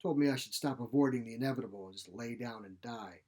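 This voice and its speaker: A man voicing a feisty, sharp-tongued elderly mother in a short story, blunt, folksy and grumbling. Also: medium pitch, fast, husky, lightly acted. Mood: indignant. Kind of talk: short story character reading.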